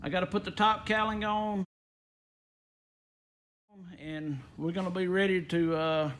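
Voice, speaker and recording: A man's voice: high voice, steady tone, measured pace, a bit bright. A man giving a casual hands-on walkthrough in a folksy, rambling way. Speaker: aviation vlogger. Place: inside a small plane at an airport.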